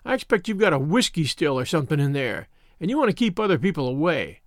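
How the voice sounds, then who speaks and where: Man as defiant boy scout, sulky, dismissive, lively high voice, storybook. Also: quick pace, no room sound, studio quality. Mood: scornful, defiant.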